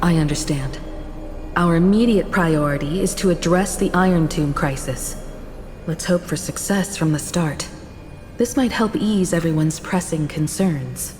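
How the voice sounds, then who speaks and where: An actress playing a calm, composed mature woman who leads a crew in a video game, speaking in a measured, reassuring way. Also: on the low side, close to an everyday voice. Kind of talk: game cutscene voice acting. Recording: reverb with game music behind.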